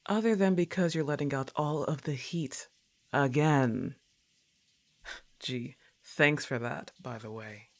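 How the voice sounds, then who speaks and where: A woman's voice: a bass voice, slow pace, heavily acted. A woman playing a laid-back, teasing, slightly annoyed young goth roommate in a roleplay audio, with a sarcastic, casual drawl. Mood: amused, teasing. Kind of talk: acted roleplay ASMR monologue.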